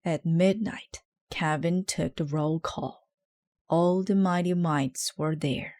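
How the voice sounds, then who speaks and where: Female children's story reader, lowish pitch, very unhurried delivery, dry sound. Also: fairly even intonation, darkish tone, no echo. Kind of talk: read-aloud children's story narration.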